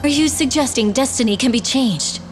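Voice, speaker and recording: A woman's voice: sits high, moderate pace, over music, natural voice. A woman playing an earnest, resolute young princess in a fantasy game, speaking formally and seriously. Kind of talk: fantasy video game voice acting.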